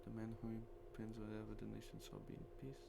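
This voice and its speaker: Man, gravelly, visual novel narration, streamer, noisy recording with hum. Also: fairly low pitch, monotone, brisk. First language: Portuguese. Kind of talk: reading a visual novel aloud.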